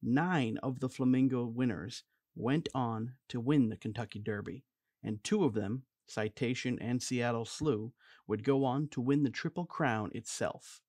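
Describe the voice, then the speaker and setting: A man reading a scripted documentary narration in an even, measured way. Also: medium pitch, dry recording.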